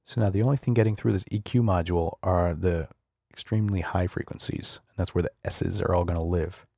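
Deep, gravelly male tutorial instructor. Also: fairly even intonation, brisk, mellow, no room sound, studio quality. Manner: casual, explanatory. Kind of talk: screencast tutorial narration. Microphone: close studio voice-over microphone.

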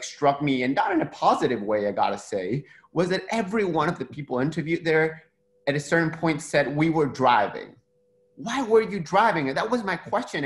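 Argentine man moderating a video panel. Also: on the high side. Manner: conversational, questioning, many fillers. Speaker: webinar moderator. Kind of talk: panel discussion over video call.

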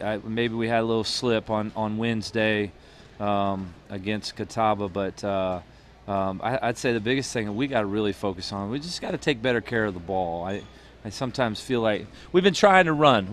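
Low-voiced coach, halting and thoughtful. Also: male voice, slight background noise. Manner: thoughtful, rambling with many restarts and fillers. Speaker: head basketball coach. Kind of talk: postgame interview. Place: small studio booth with a logo backdrop. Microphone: headset mic.

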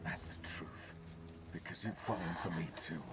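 An actor playing a rough, cocky male soldier in a game cutscene, gruff in battle and low and tender with the woman he loves. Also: normal speed, in a whisper, natural voice. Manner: gruff and brash, then low tender murmuring. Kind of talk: video game cutscene voice acting. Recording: game audio under loud music.

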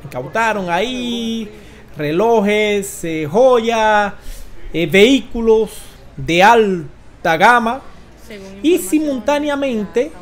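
Slow-paced Dominican man commenting on news. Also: high-pitched. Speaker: online news commentator and host. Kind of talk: informal news commentary to camera. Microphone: close desk microphone.

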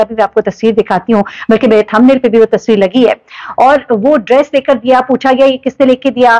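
Woman, 30s, vlog commentary, ironic and animated, slightly high voice, indoor room. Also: poor audio. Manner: animated, ironic storytelling with Urdu phrasing. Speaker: vlogger.

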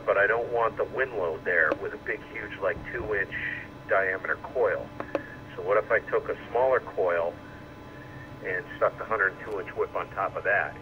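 A man chatting casually over two-way radio as an amateur operator, rambling and thinking out loud. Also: sits low, muffled recording. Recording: radio speaker re-recorded with loud noise.

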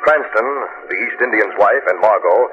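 An actor as a dramatic announcer narrating a mystery serial in 1940s radio style. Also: male voice, fairly low pitch, normal speed, soft background music, lightly acted. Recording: dull, noisy old radio recording.

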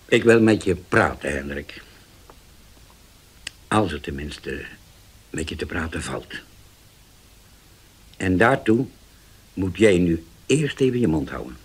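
An actor playing a solemn older uncle in a 1970s radio drama, speaking slowly and deliberately with a husky voice that sinks to near-whispers. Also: male voice, deep voice, exaggerated voice. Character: old uncle, formerly dangerous, now solemn and changed.

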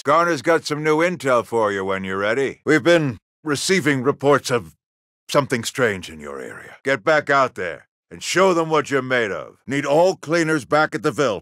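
An actor playing a stern, middle-aged American general in a video game, giving orders with clipped military authority. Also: male voice, mid-range voice, fairly bright tone, no echo, studio quality. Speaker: military commander. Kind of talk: video game mission briefing dialogue.

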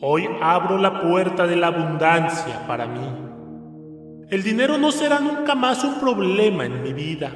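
A man leading a slow recited prayer, declaiming affirmations in a solemn, measured way. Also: high-pitched, very animated. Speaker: prayer leader. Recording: reverberant hall with soft music.

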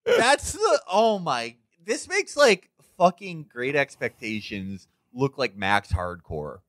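Male comedy podcaster, mid-pitched, animated and sardonic, casual sweary banter. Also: takes it slowly, no echo, studio quality. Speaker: podcast host.

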